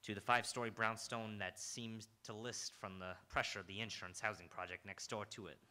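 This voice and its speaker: Man acting a low, hard-boiled radio detective. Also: fairly even intonation, fairly quick, sharp tone. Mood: brooding, terse. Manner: terse, brooding, poetic phrasing. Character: hard-boiled, world-weary New York police detective narrating his case. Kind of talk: old-time radio noir acting, second-person narration.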